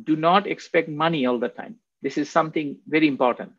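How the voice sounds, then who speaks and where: A man's voice: on the high side, fairly quick, a bit bright, no room sound. A man in his fifties from Bangladesh, giving a rambling, conversational faculty lecture from home, often checking in with "right?". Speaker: guest speaker. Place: home office. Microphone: video call. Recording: dull, dry video-call audio.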